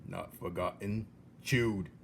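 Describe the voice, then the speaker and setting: Man playing a grave, low old jailer. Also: steady tone, slow, gravelly, husky, heavily acted. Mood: grumbling. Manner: speaks of himself in third person, grave. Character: gruff old male jailer, limping, grumbling, solemn.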